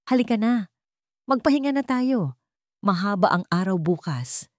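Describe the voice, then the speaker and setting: A woman's voice: mid-range voice, animated, moderate pace, darkish tone, no room sound, studio quality, pitched above the performer's own voice, natural voice. A woman voicing a smug, controlling, greedy husband in an audio melodrama, with a condescending manner. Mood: self-satisfied.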